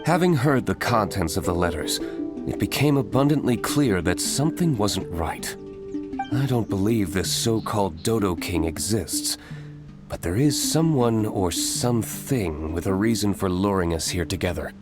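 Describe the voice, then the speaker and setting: A man playing a stern, brooding young nobleman warrior in a video game, curt and deadpan, with music behind him. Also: on the low side, normal speed, natural voice. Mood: skeptical. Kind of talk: animated game cutscene dialogue.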